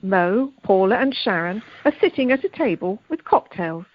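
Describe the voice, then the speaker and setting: A woman's voice: mid-range voice, expressive, moderate pace, no echo, lightly acted. A woman as the storyteller of an amateur radio play, narrating scene directions plainly from a script. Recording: dull telephone conference line.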